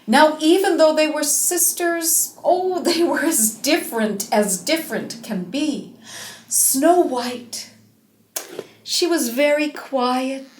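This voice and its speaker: Slow, dramatic woman storyteller. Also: sits high, a little hiss or hum. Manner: dramatic, drawn-out emphasis, animated. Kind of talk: expressive fairy-tale storytelling for children. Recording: roomy, echoing space.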